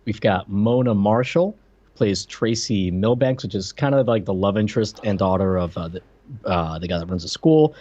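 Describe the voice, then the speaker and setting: A man's voice: lowish voice, moderate pace, warm tone. A man co-hosting a podcast in casual chat with a wry manner, on a dull, lo-fi microphone. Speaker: podcast co-host.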